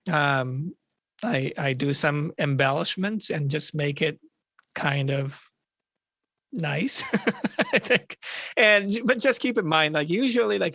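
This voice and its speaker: A man's voice: on the high side, gravelly, fairly bright tone, dry recording. A man casually explaining his work on a live panel in an informal, self-deprecating way. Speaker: panelist, orchestrator. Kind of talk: casual explanation on a video call.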